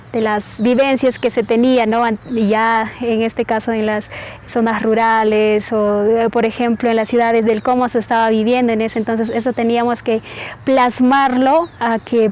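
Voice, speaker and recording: A woman reflects in an interview with a fairly high, very even voice. She speaks haltingly and often checks in with short tags. Some ambient noise sits behind her. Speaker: radio drama performer.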